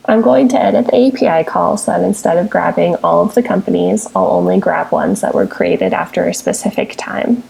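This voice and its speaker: Husky, creaky woman narrating a software tutorial. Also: on the low side, a little fast, few pauses, low-quality recording. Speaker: software tutorial presenter, technical support engineer. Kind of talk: scripted step-by-step screencast narration.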